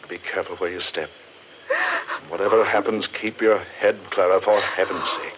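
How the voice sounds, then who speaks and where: An actor playing an earnest, anxious gentleman trying to keep his head in a 1940s radio horror drama. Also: deep voice, steady tone, normal speed, close to an everyday voice. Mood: urgent. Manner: earnest, old-fashioned formal exclamations. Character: anxious gentleman struggling to stay level-headed amid horror. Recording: muffled, noisy old 1940s radio broadcast.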